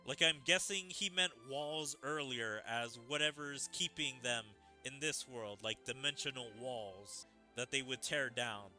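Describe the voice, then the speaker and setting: A man's voice: mid-range voice, sharp tone. A man streaming a story game, reading its dialogue aloud theatrically and musing on it in asides.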